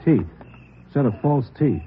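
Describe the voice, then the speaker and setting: A man's voice: mid-range voice, expressive, a little slow, close to an everyday voice. A male actor playing a practical, focused American police lieutenant in a 1940s radio crime drama. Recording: muffled, noisy old radio recording.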